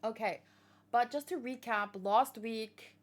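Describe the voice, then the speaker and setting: Chatty, unhurried woman manga fan. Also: a little high. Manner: chatty, rambling, frequent fillers and restarts. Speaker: manga read-along host. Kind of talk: casual chat and reading aloud.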